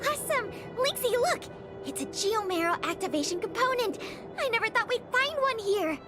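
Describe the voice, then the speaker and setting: An actress voicing a prim, cautious young female intelligence officer in an anime-style game, speaking in an earnest, precise, crisply formal way. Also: very high-pitched, expressive, moderate pace, over loud music. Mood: excited.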